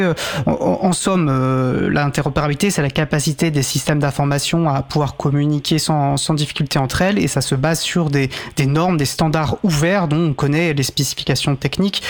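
A man hosting a radio interview, halting and repeating words as he builds long-winded questions. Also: on the high side. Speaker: radio show host.

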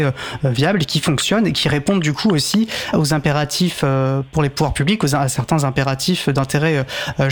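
A man hosting a radio interview, halting and repeating words as he builds long-winded questions. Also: a little high. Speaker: radio show host.

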